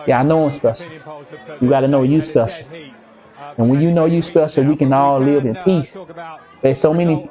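A man in his thirties with a fairly high voice preaches to his live chat about purpose and self-worth. He repeats his points and calls his viewers "y'all." His voice sits a little above most men's. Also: mellow. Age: 30s. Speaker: game streamer. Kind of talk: casual motivational talk to a live chat.

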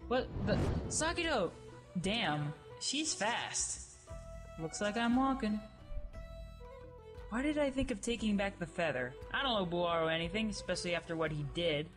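A woman playing a sarcastic, brash young female creature in an audio drama, colloquial and expressive. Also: mid-range voice, normal speed, faint music behind, lightly acted. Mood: grumbling. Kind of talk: dramatized webcomic audio play.

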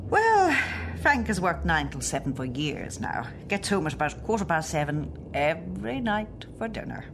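Woman playing a low-voiced, nervous Irish wife. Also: big pitch swings, moderate pace, gravelly, background noise. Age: middle-aged. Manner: hesitant, polite. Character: nervous, worried middle-aged wife and client. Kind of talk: radio play acting.

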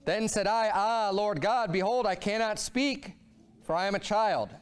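A pastor in his thirties preaches from the pulpit in a high, bright-edged voice. He talks with fervor and swings from low, quiet asides up to ringing exclamations. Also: unhurried, smooth. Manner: animated preaching, voice rising and falling a lot. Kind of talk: sermon. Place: church sanctuary, speaking at a pulpit.

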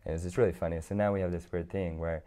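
A young man in his twenties, hoarse from being sick, chatting easygoing as an interview guest on a lapel mic in a large indoor studio. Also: low voice, steady tone, very fast, dark tone. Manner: easygoing, many fillers and restarts. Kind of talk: casual interview chat.

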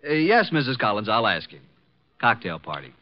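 An actor playing a breezy, wisecracking detective's assistant, glib and sarcastic, in old-time radio drama style. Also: male voice, on the low side, sing-song, fairly quick. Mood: glib, polite. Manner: glib, sarcastic, smooth-talking. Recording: muffled vintage radio broadcast.

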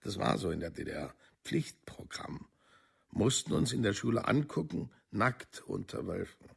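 An actor playing a plain-spoken, exasperated middle-aged East German parent, halting and colloquial, in a documentary radio play. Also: male voice, lowish voice, big pitch swings, exaggerated voice. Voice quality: gravelly, husky. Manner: colloquial, halting, seeking agreement.

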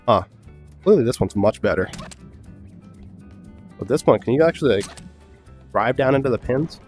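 A young man giving casual, excitable gameplay commentary, swearing often and laughing a lot. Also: medium pitch, normal speed, faint music behind. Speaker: gaming YouTuber.

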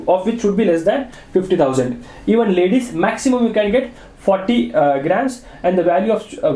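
A man's voice: high voice, mellow. A young man with an Indian English accent gives an exam revision lecture, repeating key points and asking and answering his own student-style questions. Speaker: tax teacher. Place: small indoor room, video over lecture slides. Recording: echoey room.

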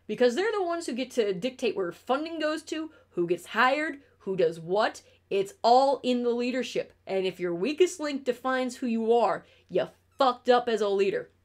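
A woman's voice: a little high, expressive, moderate pace, hardly any pauses. A young woman from New York State with an upstate New York accent, chatting on a casual stream from her bedroom, blunt and ranting, swearing freely.

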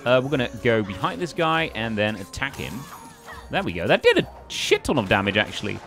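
Animated man doing casual game commentary. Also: medium pitch, fairly quick, vocal fry. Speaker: gaming video host. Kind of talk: casual let's play commentary. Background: faint game music.

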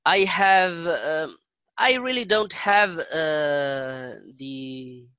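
A middle-aged man with a Chilean accent and Spanish as his native language, chatting in halting English and repeating phrases as he searches for words. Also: a little high, sharp tone, smooth, dry recording, studio quality. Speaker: English learner. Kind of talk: casual conversation practice. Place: indoor room on a video call. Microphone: headset.

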